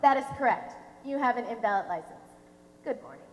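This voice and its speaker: A woman's voice: fairly high pitch, lively intonation, lo-fi sound, lightly acted. A young woman playing a smug, pedantic, obstructive government clerk in a comic stage play. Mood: condescending. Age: teenager or young adult. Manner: pedantic, drawn-out deliberate delivery. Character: smug, officious, obstructive female DMV clerk. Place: theatre stage, recorded from a distance. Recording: echoey hall, noisy stage recording.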